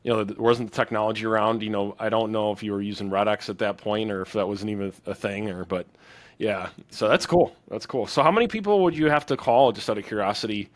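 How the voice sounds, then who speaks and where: Affirming male podcast host, low voice. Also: fast talker. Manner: affirming, reflective, talks in restarts while building a point. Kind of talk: podcast interview.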